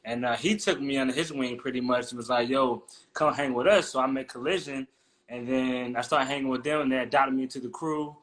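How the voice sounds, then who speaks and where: A man's voice: mid-range voice, fairly even intonation, brisk, fairly bright tone, rough recording. A man who is a guest on a casual interview, telling a story in a laid-back, slangy way.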